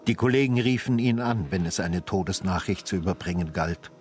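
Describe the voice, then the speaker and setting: A man narrating a literary radio drama in a sombre, measured and grave style. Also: low-pitched, darkish tone.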